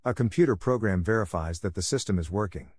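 A man's voice: lowish voice, fast, a little mellow, slightly rough, dry recording, studio quality. A man reading scripted practice sentences for an English speaking test. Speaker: test prompt reader.

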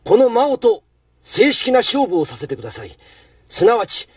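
Sneering, swooping villain chef. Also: male voice, very high voice, huge pitch swings, moderate pace, breathy edge, dry recording, clean studio sound, exaggerated voice. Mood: indignant, challenging. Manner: sneering, haughty, formal. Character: arrogant middle-aged male senior chef villain, scheming. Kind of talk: anime acting. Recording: distant sound under loud music.

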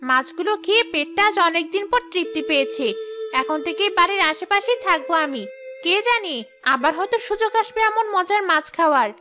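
Woman doing a very high-pitched greedy ghost woman. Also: lively intonation, a little fast, pushed and bright, soft background music, heavily acted. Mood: greedy, scheming. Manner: scheming, threatening. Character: greedy, menacing female shakchunni ghost. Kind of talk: Bengali cartoon voice acting.

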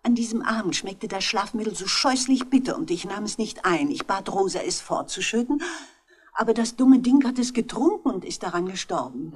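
An older actress playing a genteel elderly widow, courteous and anxious, in German 1960s radio drama style. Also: female voice, mid-range voice, moderate pace, breathy edge. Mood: confiding, plaintive. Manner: polite, refined, expressive.

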